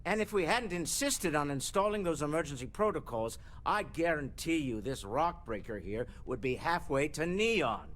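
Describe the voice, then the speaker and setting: A man's voice: a little high, expressive, moderate pace, fairly bright tone. An actor playing a scornful, snobbish man in a video game, with a haughty, sneering delivery. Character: scornful, snobbish wealthy man, easily exasperated. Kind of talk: scripted video game dialogue.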